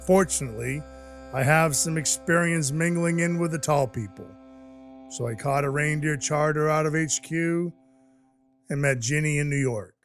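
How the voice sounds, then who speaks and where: A man playing a scruffy, apologetic Christmas dwarf in an audio drama, telling his story in a halting, folksy, sincere way. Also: on the high side, soft background music, natural voice. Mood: reflective, folksy. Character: male Christmas dwarf turned Santa, scruffy, apologetic, sentimental. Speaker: actor in an audio play.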